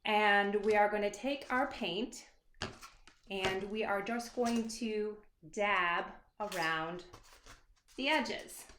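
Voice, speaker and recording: A woman's voice: medium pitch, slow. A woman giving a casual, encouraging, unscripted step-by-step craft tutorial for children. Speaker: children's author leading a craft demonstration. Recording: roomy echo, faint background noise.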